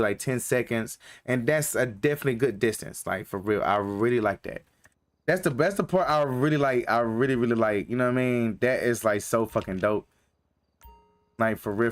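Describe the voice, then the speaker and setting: A young man from North Carolina chatting casually on a game live stream, rambling with lots of slang and fillers. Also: medium pitch.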